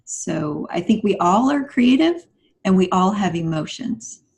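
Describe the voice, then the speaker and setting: A woman with a mid-pitched voice talks as a guest on a writing podcast. She sounds earnest and explanatory, in a conversational discussion style. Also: animated, measured pace, darkish tone.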